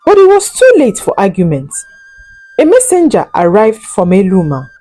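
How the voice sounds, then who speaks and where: A woman narrating a scripted folk tale in a solemn, deliberate and dramatic storytelling manner. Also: medium pitch, huge pitch swings, mellow, soft background music.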